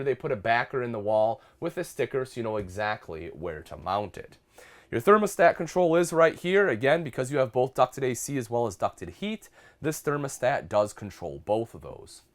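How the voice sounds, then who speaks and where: A congested man with a head cold, a product specialist giving a friendly walkthrough tour inside a travel trailer and calling his viewers folks. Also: medium pitch.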